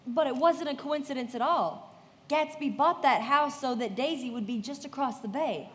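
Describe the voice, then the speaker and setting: A woman playing a poised young society woman who tells a story in measured, confiding narration in a live radio play, in a reverberant hall with music behind. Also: sits high, close to an everyday voice. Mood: persuasive.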